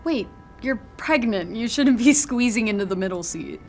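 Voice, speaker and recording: A woman's voice: on the high side, expressive, normal speed, faint music behind. A young actress playing a breezy but guarded teenage girl hitchhiker in a naturalistic audio drama, casual and a little sheepish. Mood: casual, polite.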